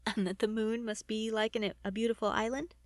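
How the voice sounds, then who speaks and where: A woman voicing a playful, romantic lady in a storybook, soft and teasing. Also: fairly high pitch, little pitch movement, fairly quick, no room sound, studio quality, lightly acted.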